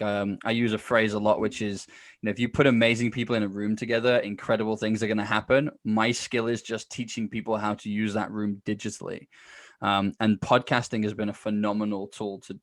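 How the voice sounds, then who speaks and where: A man's voice: on the low side, fairly bright tone, dry recording. A man speaking as a podcast guest in a casual, chatty storytelling style, acting out bits of conversation as he goes. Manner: chatty, informal, acts out quoted exchanges.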